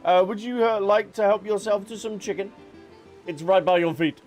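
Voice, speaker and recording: Joking man for casual game commentary; slightly high register, music in the background. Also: normal speed, a little mellow. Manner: playful, joking. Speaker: game streamer. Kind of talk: casual game commentary and reading dialogue aloud.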